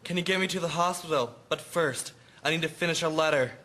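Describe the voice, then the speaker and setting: A man's voice: on the high side, quick pace, pushed and bright. A young man playing a dazed, wounded airman in an audio drama.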